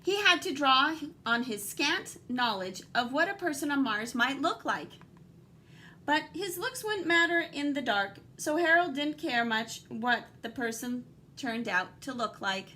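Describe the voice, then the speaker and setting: Female teacher storyteller, high voice, expressive and dramatic picture-book delivery for young kids, slight background noise. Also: measured pace. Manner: animated, dramatic emphasis, guiding and questioning. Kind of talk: expressive children's read-aloud with asides to young listeners.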